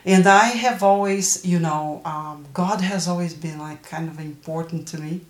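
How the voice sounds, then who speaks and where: A woman in her early sixties with a Brazilian accent, giving personal testimony as an interview guest, haltingly searching for words. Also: deep voice, steady tone. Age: early 60s. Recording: roomy, echoing interview recording.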